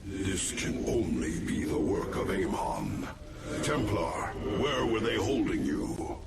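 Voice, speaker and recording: A man voicing a solemn, portentous alien warrior elder in a video game, speaking formally and gravely. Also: sits low, very animated, slow pace, heavily acted. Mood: grave, urgent. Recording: loud game music behind.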